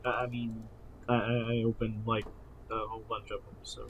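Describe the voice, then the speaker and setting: Halting man chatting, faint background noise. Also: fairly low pitch, monotone, fairly bright tone, low-quality recording. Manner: halting, often repeats first words. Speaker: podcast co-host. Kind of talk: casual enthusiast chat.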